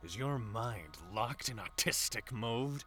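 An actor playing a scornful, insolent sergeant with a raspy voice in a sci-fi war audio drama. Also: male voice, medium pitch, animated, slow pace, fairly bright tone, faint music behind. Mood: defiant. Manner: insolent, protesting. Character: defiant, scornful traitor sergeant, adult man.

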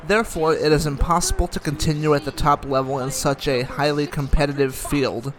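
A man's voice: fairly high pitch, fairly even intonation, fairly quick, over music. A man giving casual watch-along commentary, matter-of-fact and thinking aloud, sometimes reading aloud. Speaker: reaction host commenting on a documentary.

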